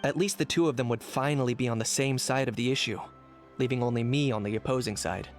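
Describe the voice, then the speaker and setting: A man playing a cool, pragmatic young hunter for hire in scripted game dialogue, measured and matter-of-fact. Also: medium pitch, lightly acted. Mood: cool, businesslike. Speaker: game character voice actor. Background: game background music.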